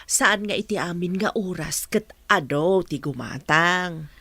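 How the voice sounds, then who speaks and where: A woman's voice: fairly low pitch, animated, measured pace, pushed and bright, husky, dry recording, full character voice. A woman playing a consoling village mother in a radio drama.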